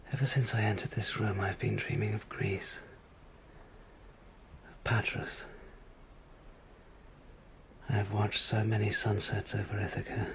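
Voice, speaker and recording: A sick, failed writer in a radio play. His deep voice is gravelly and weak, dropping into intimate hush. He sounds wistful and full of self-doubt. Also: slight background noise. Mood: dreamy, tender. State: weak and ill. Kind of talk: radio drama acting.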